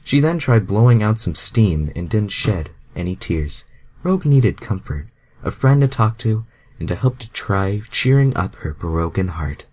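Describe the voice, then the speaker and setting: Very deep, husky amateur male reader. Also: big pitch swings, measured pace, darkish tone. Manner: sweary, stumbles over words. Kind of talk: casual fan fiction narration with asides.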